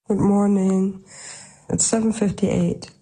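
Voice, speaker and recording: A woman's voice: medium pitch, flat delivery, slow pace, warm tone, no room sound. A woman from Germany speaking casually in personal voice notes recorded on a phone. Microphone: phone voice note. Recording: noisy outdoor-sounding voice note.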